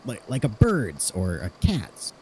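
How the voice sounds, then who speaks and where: A man's voice: on the low side, wildly swooping pitch, slow pace, warm tone, a little hiss or hum, full character voice, rough recording. A man playing a curious, analytical theorist in an audio drama, conversational and inquisitive. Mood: intrigued, careful.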